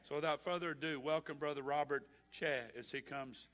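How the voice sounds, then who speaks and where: A man in a church introducing a guest preacher, rambling and hesitant before building to a loud welcome. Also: fairly high pitch, fairly even intonation, a little fast. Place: church sanctuary.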